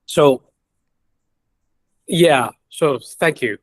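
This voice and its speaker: A middle-aged man from Boston introducing himself casually as an interview guest, halting often in mid-sentence. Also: fairly high pitch. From Boston, United States. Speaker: guest, company founder and investor.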